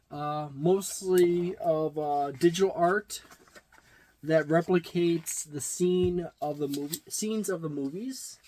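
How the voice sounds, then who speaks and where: A middle-aged man giving a casual, halting, matter-of-fact unboxing and review, recorded in a small room. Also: high voice, slight background noise, low-quality recording. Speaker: tabletop game reviewer. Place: small indoor room with packed shelves.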